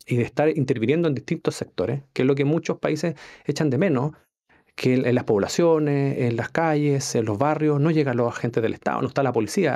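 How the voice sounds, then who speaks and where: Gravelly Chilean academic man. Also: medium pitch, a little mellow. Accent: Chilean Spanish. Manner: measured, analytical, with hesitations. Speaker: political science academic guest. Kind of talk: radio discussion. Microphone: studio microphone.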